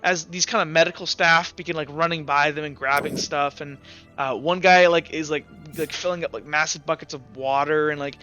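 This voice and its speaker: Guy gamemastering a tabletop rpg, crisp bright voice, keeps restarting his descriptions, some music behind. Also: fairly high pitch, steady tone, dull audio. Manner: halting, hesitant descriptions full of repeats. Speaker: game master. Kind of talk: improvised narration of a tabletop roleplaying game.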